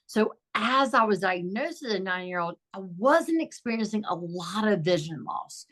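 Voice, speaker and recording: A woman's voice: medium pitch, lively intonation, normal speed, a bit bright. Reflective woman guest telling her story. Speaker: podcast guest. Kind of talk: personal storytelling in interview. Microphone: video call recording.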